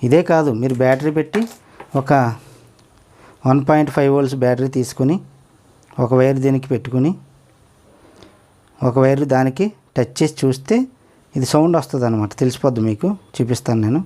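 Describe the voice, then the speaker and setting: Fast-talking man teaching a repair. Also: mid-range voice, dark tone. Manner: explains step by step, often asks the viewer to look. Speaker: electronics repair tutor. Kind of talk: hands-on repair tutorial.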